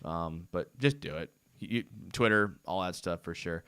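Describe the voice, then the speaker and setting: A man's voice: deep voice, measured pace, pushed and bright, smooth. A young man hosting a casual live fan show, rambling in a laid-back way with lots of fillers, his voice tired and starting to go from talking so long. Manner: laid-back, rambling, frequent "dude" and "I mean". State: voice going hoarse from long talking. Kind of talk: casual live-stream chat.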